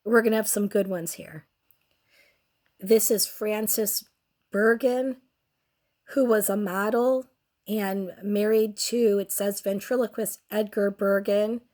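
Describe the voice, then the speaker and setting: A woman's voice: mid-range voice, steady tone, a little mellow. A young woman with a cold and a nearly lost voice, hosting a casual commentary show in a crude, sardonic way. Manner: crude, sardonic, slow and drawn out. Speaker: host.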